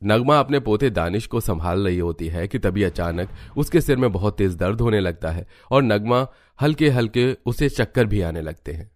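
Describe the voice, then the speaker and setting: A man's voice: on the low side, animated, moderate pace, hardly any pauses, no room sound, studio quality. A man narrating a scripted children's cartoon story.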